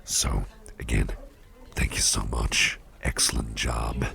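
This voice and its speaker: A man playing a suave, doting devilish businessman boss in a soft-spoken ASMR roleplay, murmuring with courtly, unhurried gratitude and slipping into gravelly whispers. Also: rumbling low, little pitch movement, exaggerated voice. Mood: fond, courteous. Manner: courtly, unhurried, repeats phrases appreciatively. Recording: party crowd murmur behind voice. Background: crowd chatter.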